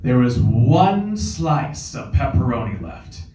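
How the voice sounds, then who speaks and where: A man's voice: mid-range voice, sing-song, takes it slowly, warm tone. A man playing a smarmy, touchy, gleefully mean monstrous guy in his thirties in a comedy table read. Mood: smug storytelling. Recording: roomy, distant mic at live event.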